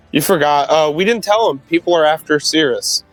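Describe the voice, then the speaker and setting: A man's voice: fairly high pitch, normal speed, poor audio. A man chatting casually as a player in a tabletop roleplaying game. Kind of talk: casual chat. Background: music.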